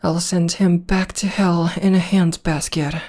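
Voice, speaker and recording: A young woman voicing a tender, protective witch girlfriend in an ASMR roleplay, speaking softly and often whispering close to the microphone. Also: on the low side, flat delivery, a little slow. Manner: soft and soothing, often whispering. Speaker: voice actor.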